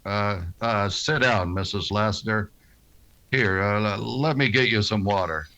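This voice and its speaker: An actor playing a weary, stern frontier marshal in a grave radio western style. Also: male voice, fairly low pitch, unhurried, bright, forceful tone. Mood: uneasy, apologetic. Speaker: lead actor and narrator. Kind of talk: old-time radio western drama acting.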